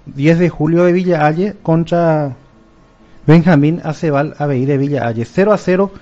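Paraguayan guy on the radio rattling off football scores, kinda high voice, warm tone. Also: moderate pace, lo-fi sound. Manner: reads out match results, mixing Guarani into Spanish. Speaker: radio sports presenter. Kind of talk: radio news chat.

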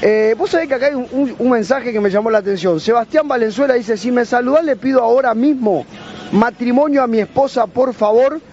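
A man hosting a casual live sports chat, excitable and worked up. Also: extremely high pitch, brisk, husky. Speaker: panel host. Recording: muffled stream audio, faint noise.

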